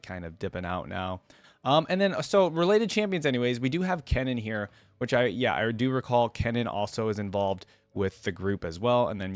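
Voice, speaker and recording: A man with a fairly low voice hosts a reaction video in a casual, conversational style. He moves between commentary and reading aloud, and the sound is dry with little room in it. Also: no echo. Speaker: YouTube reaction host.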